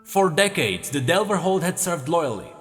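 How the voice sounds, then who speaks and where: A man's voice: a little high, lively intonation, moderate pace, big-room sound, faint music behind, close to an everyday voice. A man voicing a proud young nobleman who speaks in a refined, emphatic way.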